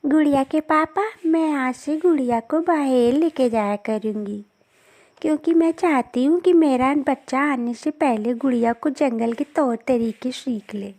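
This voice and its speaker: A woman voicing a caring, patient mother bird in a cartoon story, gentle and instructive. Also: high voice, a little slow. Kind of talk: cartoon story character acting.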